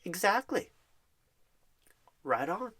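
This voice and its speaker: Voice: medium pitch, sing-song, takes it slowly, a bit bright. A speaker in an ASMR roleplay as an upbeat, encouraging job recruiter on a phone screening, talking in a wordy, reassuring way with pet names. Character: happy, eager, encouraging job recruiter and career coach. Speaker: ASMR performer playing a job recruiter and career coach.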